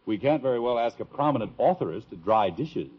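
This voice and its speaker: A man's voice: mid-range voice, animated, a little fast, husky. An American actor playing an easygoing, dry-humored middle-aged father in a 1950s radio sitcom, on a dull, noisy old recording.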